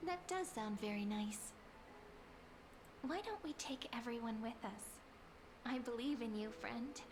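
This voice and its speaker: High, gentle young heroine acted by a woman. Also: noisy background, close to an everyday voice. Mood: hopeful, tender. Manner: tender, encouraging, playful. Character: gentle, affectionate, hopeful young female companion. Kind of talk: video game voice acting.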